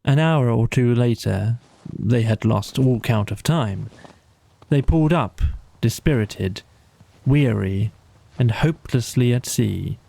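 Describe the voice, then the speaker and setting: A male audiobook narrator reading a bedtime story. His voice is mid-pitched and mellow with a gravelly creak, recorded dry.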